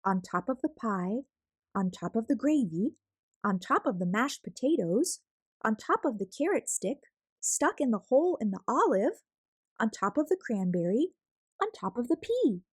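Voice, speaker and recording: A woman reading a children's picture book aloud as a storyteller, expressive and deliberate, drawing out words for emphasis. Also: on the high side, husky, dry recording, clean studio sound. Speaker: storyteller, read-aloud host.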